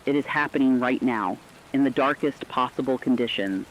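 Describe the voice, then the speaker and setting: A man's voice: medium pitch, moderate pace, dull audio, slight background noise, close to an everyday voice. A man acting as a radio correspondent, reading scripted dramatic news in a solemn, earnest, rhetorical manner. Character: solemn radio correspondent reporting human survival amid apocalypse.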